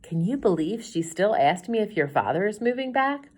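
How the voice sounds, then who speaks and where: A woman voicing a supportive mother who speaks softly to her daughter before a class presentation. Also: mid-range voice, big pitch swings, normal speed, breathy edge, voice pushed up. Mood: hushed. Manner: soft, hushed.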